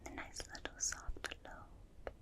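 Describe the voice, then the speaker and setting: Woman whispering as a slow, curious alien examiner. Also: bright, forceful tone. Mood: curious, soothing. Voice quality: breathy whisper. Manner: slow, clinical, fascinated, formal stilted phrasing. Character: curious, gentle alien examiner studying a tiny human. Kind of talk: ASMR roleplay, soft whispering. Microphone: close binaural microphone.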